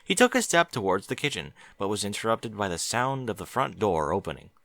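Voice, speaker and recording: A man narrating a story aloud in a bright, lively, expressive voice. The reading moves up and down with the plot. The audio is dry and close. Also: fairly low pitch, a little fast, vocal fry, dry recording. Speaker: audiobook reader.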